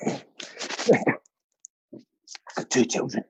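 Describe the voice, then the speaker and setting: A man's voice: extremely slow, darkish tone, dull audio, a little hiss or hum. A man co-hosting a quiz with casual comic banter, teasing in a dry, mock-serious way. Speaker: quiz co-host.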